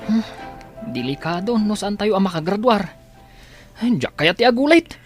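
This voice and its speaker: Lady doing a lazy teen boy in an audio drama, defensive, pitch jumps around, faint music behind. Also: expressive, normal speed, lightly acted. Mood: defensive, uneasy. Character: lazy, defensive teenage schoolboy afraid of failing. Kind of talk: amateur audio drama acting.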